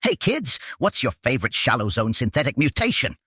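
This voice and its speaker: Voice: mid-range voice, big pitch swings, fairly quick, bright, forceful tone, slightly rough, dry recording, studio quality, heavily acted. A performer voicing a wacky, hard-selling cartoon mascot in zany kids' television commercial patter. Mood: manically cheerful.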